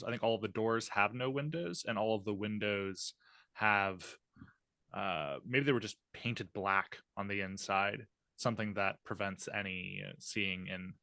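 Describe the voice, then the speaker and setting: A man with a bright, forceful tone and fairly low pitch plays a tabletop game. He improvises casually, recorded close and dry. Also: no room sound.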